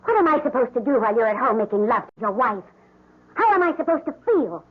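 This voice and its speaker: A high, lilting young woman's voice on a tinny vintage radio, as a scheming mistress. She is petulant and coquettish, swooping through her lines in radio melodrama style. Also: sing-song, quick pace, husky. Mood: petulant demanding. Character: manipulative, demanding young mistress, barely out of her teens. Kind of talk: old-time radio drama acting.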